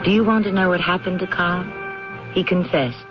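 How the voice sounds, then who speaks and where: A man's voice: sits high, fairly even intonation, moderate pace. A man hosting a radio drama with theatrical narration in an arch, florid manner. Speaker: host. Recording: muffled old radio broadcast, music behind.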